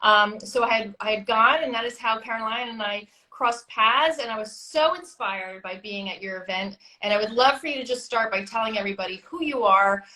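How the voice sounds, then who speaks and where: A woman's voice: fairly high pitch, a little fast, hardly any pauses, sharp tone, low-quality recording. A woman hosting a live-streamed interview, gushing and enthusiastic toward her guest. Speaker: host.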